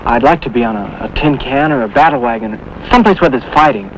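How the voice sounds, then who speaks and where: An actor playing an eager, polite young American Navy recruit in a 1950s TV drama. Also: male voice, mid-range voice, lively intonation, fast talker, close to an everyday voice. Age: young adult. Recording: muffled, noisy 1950s TV soundtrack.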